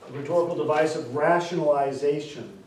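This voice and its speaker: A man's voice: on the high side, darkish tone, distant mic, slight background noise. Man lecturing in an echoey classroom. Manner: explains with repeated examples, stressing key terms slowly. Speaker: instructor. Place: classroom, indoors. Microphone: far camera.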